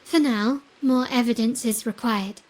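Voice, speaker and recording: Poised, high-voiced noblewoman sleuth, played by an actress. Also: darkish tone, close to an everyday voice. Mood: composed, analytical. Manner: measured, formal, composed. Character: poised, shrewd young noblewoman investigator of crime family. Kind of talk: video game voice acting.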